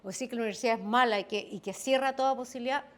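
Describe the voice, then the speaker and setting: A woman's voice: on the high side, rapid-fire. A woman with a Chilean accent and a strong voice, speaking on a discussion panel and carefully qualifying her points. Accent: Chilean Spanish. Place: event hall.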